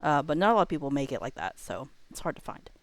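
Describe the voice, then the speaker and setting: A woman's voice: low-pitched, big pitch swings, quick pace, no room sound. A woman hosting a livestream, chatting casually to viewers in a joking way with wandering asides. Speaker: livestream host.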